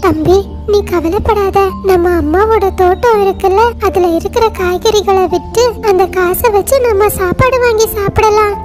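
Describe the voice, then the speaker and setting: A woman voicing a caring older sister bird chick in a cartoon, calm and comforting. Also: very high-pitched, normal speed, over music.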